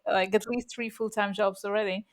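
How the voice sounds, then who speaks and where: A woman's voice: medium pitch, fairly even intonation, normal speed, crowd noise. Chatty bilingual woman podcaster. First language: Russian, bilingual from birth. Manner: chatty, many fillers and restarts, laughs often. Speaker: podcast co-host. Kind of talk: casual podcast chat.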